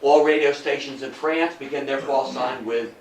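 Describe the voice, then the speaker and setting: An older man giving a public history lecture in a theatrical, emphatic storytelling manner, with dramatic pauses and rhetorical questions. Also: medium pitch, fairly even intonation, crowd noise. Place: indoor talk room before an audience. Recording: roomy, distant mic, faint noise.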